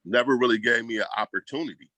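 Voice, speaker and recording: A man's voice: mid-range voice, fast talker, sharp tone, no echo. A man talking casually as an interview guest, telling stories in slangy, colloquial speech and acting out quoted remarks. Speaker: school program leader, guest. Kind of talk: casual conversational storytelling.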